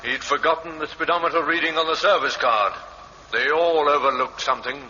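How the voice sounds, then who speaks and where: An actor playing a calm police inspector reviewing evidence in a 1950s radio drama, matter-of-fact and deliberate. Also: male voice, fairly high pitch, big-room sound, lo-fi sound, slight background noise.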